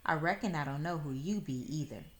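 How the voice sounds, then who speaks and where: A woman's voice: sits low, animated, fairly quick. A woman voicing a bold, unimpressed little country girl in a storybook, in a plain-spoken dialect. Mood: polite. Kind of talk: storybook read-aloud.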